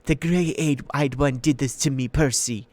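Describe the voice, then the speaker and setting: A man's voice: high-pitched, big pitch swings, moderate pace, vocal fry, pitched above the performer's own voice, heavily acted. A man voicing a sweet-voiced old female monster with a purring, coaxing manner in an audiobook. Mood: menacing. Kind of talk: audiobook character voice.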